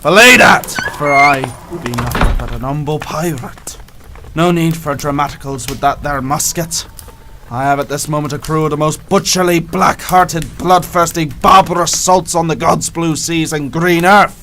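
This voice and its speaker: An actor playing an ageing, rum-loving pirate captain in a radio play, declaiming in stage pirate English over a noisy background. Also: male voice, on the high side, normal speed, bright, forceful tone. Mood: blustering. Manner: blustering, declamatory old-salt talk. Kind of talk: theatrical radio play acting. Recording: noisy background with ambient sound effects.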